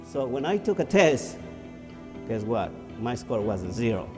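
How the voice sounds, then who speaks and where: A man's voice: medium pitch, sing-song, measured pace, in a large hall, music in the background. A man from Ecuador, giving a motivational speech as emphatic storytelling with repeated phrases. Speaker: motivational speaker.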